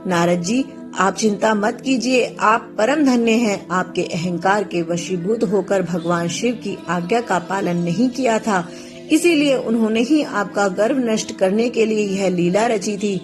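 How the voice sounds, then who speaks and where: Woman plays kindly serene deity, gentle formal teaching, medium pitch, faint music underneath. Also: unhurried, close to an everyday voice. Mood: consoling, instructive. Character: serene male god, kindly teacher to devotee.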